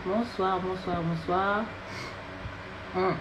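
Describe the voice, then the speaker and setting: A woman, congested and nasal from a cold, hosting a live-stream interview in a relaxed, chatty way with many hesitations. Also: lowish voice, extremely slow, noisy background. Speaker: interview host.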